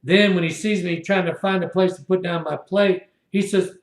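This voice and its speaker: A man's voice: high-pitched, fairly even intonation, normal speed, reverberant. A man in his sixties telling a long, indignant story in a rambling, repetitive monologue. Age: 60s. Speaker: video blogger. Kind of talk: rambling first-person monologue.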